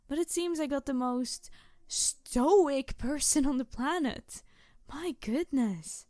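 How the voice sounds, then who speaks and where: A woman voicing a playful, mischievous tanuki spirit girl in a roleplay audio drama, teasing the listener in an excitable, rambling way. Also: high-pitched, slow pace, no echo, studio quality. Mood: excited, pleading. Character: playful, mischievous young-sounding tanuki trickster spirit girl, over two hundred.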